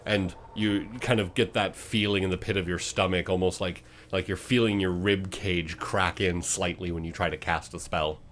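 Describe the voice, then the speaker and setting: A man's voice: low-pitched, fairly even intonation, moderate pace, hardly any pauses, fairly bright tone, slight background noise. A man working as game master, improvising dramatic, descriptive narration for a fantasy tabletop game.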